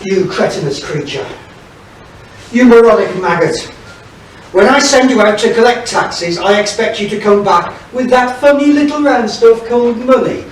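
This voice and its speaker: A man's voice: high-pitched, animated, normal speed. A man acting a scheming, pompous sheriff villain in a theatrical pantomime style. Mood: scornful. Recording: echoey hall, distant mic, faint noise.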